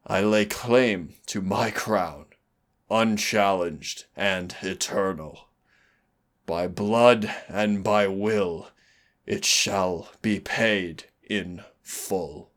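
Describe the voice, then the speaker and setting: A man voicing a brooding demon prince who is tender toward his hunter lover, gravelly and husky, slow and intimate in a romantic audio roleplay. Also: on the low side. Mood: solemn incantation. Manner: slow, dramatic, intimate delivery. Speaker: voice actor.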